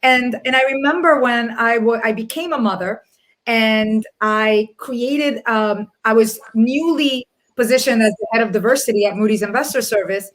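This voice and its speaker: A middle-aged woman raised in the Dominican Republic speaking on a panel over a video call, earnest and reflective, often pausing and restarting her sentences. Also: on the high side. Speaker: panelist, corporate people executive. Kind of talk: panel discussion.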